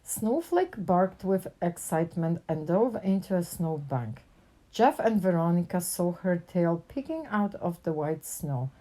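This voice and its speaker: A woman reads a picture book aloud in an expressive storytelling style for children. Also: fairly low pitch, a little slow. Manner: animated character dialogue with plain narration.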